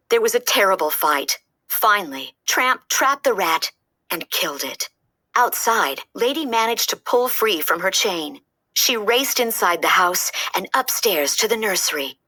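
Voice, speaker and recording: A woman reading a children's story aloud with expressive narration. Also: on the low side, no echo.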